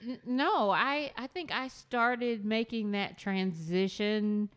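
A woman's voice: mid-range voice, slow pace, smooth. A woman panel guest speaking firmly and with self-assurance about her own experience. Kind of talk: personal storytelling in conversation.

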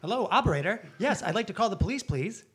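Woman as husky, desperate emergency caller. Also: mid-range voice, lively intonation, fast. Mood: urgent. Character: desperate female phone caller pleading for police. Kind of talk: improvised comedy radio play.

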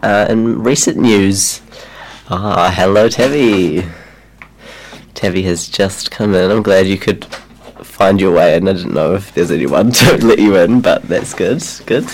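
A young New Zealand man hosts community radio, chatting loosely with plenty of ums and easy laughs. His voice sits very low and has a gravelly, fried edge, worn by a lingering cold. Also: unhurried. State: recovering from a cold. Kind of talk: casual radio chat.

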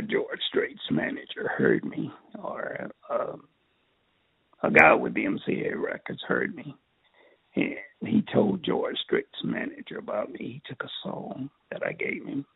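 An older man from Texas with a raspy, strained voice, telling his life story in an interview in a halting way, repeating words. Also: lowish voice, huge pitch swings, no room sound. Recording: tinny phone line.

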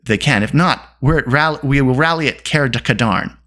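A storyteller voicing an excitable, boastful male bard who speaks in a dramatic, effusive way. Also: mid-range voice, moderate pace, pitched above the performer's own voice, lightly acted. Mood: excited.

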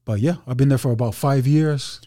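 A man talking as a podcast guest in a relaxed, chatty way, with lots of fillers and asides. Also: mid-range voice, fairly quick, dry recording, clean studio sound. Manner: laid-back, chatty, many fillers and asides. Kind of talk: casual podcast chat.

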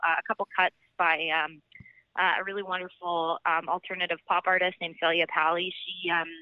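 Woman, Canadian accent, smooth clear tone, informal podcast guest, chatty, close dry sound. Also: lowish voice, level tone, fairly quick, no room sound, highs cut off. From Alberta, Canada. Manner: chatty, informal, frequent "like" and "you know". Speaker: country singer-songwriter, podcast guest. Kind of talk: casual interview chat.

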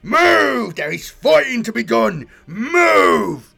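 A man voicing a huge, brutish orc war boss who bellows his orders gruffly in an audiobook. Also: very high voice, very animated, slow, sharp tone, pitched above the performer's own voice, heavily acted. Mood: roaring.

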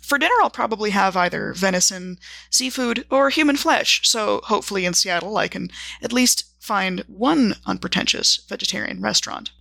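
Wry, bright-voiced woman diarist, acted by a woman. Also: mid-range voice, moderate pace, gravelly, no room sound. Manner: dry, articulate, arch. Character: wry, precise, worldly woman writing her travel journal. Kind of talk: scripted journal narration.

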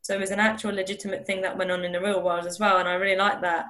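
A woman's voice: medium pitch, level tone, rapid-fire, a bit bright. A young woman from Iran, talking earnestly in an interview over a video call, often restarting and repeating words. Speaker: interview guest. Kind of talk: candid conversational interview.

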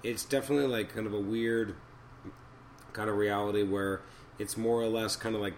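A man hosting a podcast of off-the-cuff reviews, his voice a little hoarse and raspy from a cold, thinking aloud in a halting way. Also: fairly low pitch, steady tone, a little hiss or hum. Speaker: podcast host. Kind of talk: unscripted comic book review commentary.

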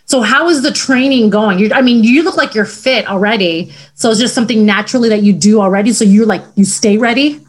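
Upbeat chatty woman hosting an interview. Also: a little high. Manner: upbeat, chatty, quick affirmations. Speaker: podcast host. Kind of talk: casual interview.

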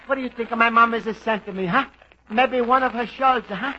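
A man's voice: very high-pitched, normal speed. An actor playing a homesick, earnest Italian immigrant man in a radio comedy, speaking broken English with an Italian accent and frequent exclamations. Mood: excited. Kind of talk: 1940s radio acting. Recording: dull old radio broadcast with faint hiss.

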